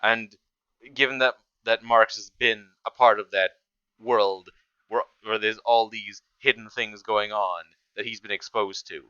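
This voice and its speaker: Bright, clear-voiced man rambling casually. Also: lowish voice, steady tone, measured pace, no room sound, dull audio. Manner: rambling, stammering, often restarts sentences. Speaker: reaction video host. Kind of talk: casual off-the-cuff reaction commentary.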